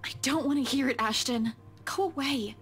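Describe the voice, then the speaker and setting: A woman voicing a sullen, feisty young woman in a visual novel game, exasperated and sharp-tongued but with some fondness. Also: high voice, moderate pace. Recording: game dialogue over background music. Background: music.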